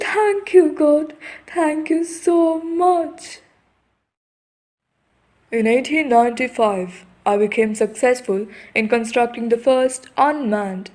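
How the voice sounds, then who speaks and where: A woman's voice: a little high, darkish tone. A woman playing an earnest, proud Indian male inventor in a school puppet show, explaining things like a storyteller. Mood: overjoyed.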